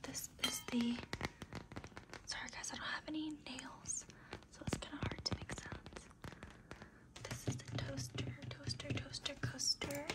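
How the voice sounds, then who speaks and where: A young woman whispers through an ASMR desk tour, her voice a little raspy because she is losing it. Her whisper sits fairly high, and she rambles casually with plenty of fillers. Soft tapping and keyboard sounds come in behind her. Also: fairly even intonation, unhurried, pauses often. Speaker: ASMR creator.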